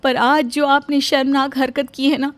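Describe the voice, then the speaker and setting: Lady playing sweet dutiful young cartoon wife, highish voice, polite and earnest. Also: level tone, normal speed, no echo, lightly acted. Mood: hurt. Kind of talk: Hindi cartoon story voice acting.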